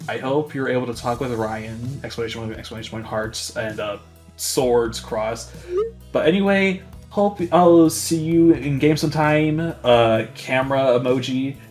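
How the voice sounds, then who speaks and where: A man's voice: mid-range voice, moderate pace, darkish tone, faint music behind. A man playing a tabletop roleplaying game, narrating his character's actions in a casual, improvised way.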